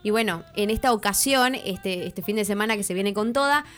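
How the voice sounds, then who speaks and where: A woman with an Argentine accent hosting a morning radio show, chatting in a lively, welcoming way with quick interjections. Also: medium pitch, soft background music. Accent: Argentine Spanish. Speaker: radio host.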